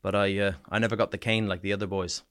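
A man from small-town eastern Canada with a Canadian accent touched by an Irish-sounding twang, telling his story frankly and haltingly as a podcast guest. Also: lowish voice, level tone, a bit bright. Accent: Canadian mixed with an Irish-sounding twang. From small town in New Brunswick, eastern Canada. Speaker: podcast guest, soldier back from war. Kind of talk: personal interview storytelling.

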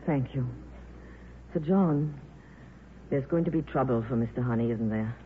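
An actress playing a frank, loyal, worldly film star in a 1950s radio drama. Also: female voice, rumbling low, a little slow. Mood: frank, concerned. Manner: plain-spoken, earnest. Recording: tinny, noisy 1950s radio broadcast.

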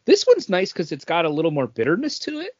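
A man's voice: high-pitched, huge pitch swings, quick pace, no room sound. Male podcast host, conversational and rambling, dry room, lo-fi muffled capture. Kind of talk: casual chat about craft beer.

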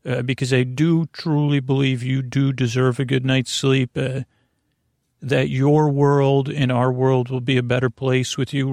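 A man with a creaky voice hosting a sleep podcast, speaking in a meandering, halting bedtime monologue full of soothing tangents and mild whimsy. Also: medium pitch, dry recording, clean studio sound. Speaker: podcast host.

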